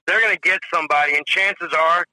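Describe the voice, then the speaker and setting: A man's voice: on the high side, brisk, pushed and bright, no room sound, low-quality recording. A man in his forties, a drummer guest telling rambling stories in a casual interview, full of "you know" and "if you will". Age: 40s.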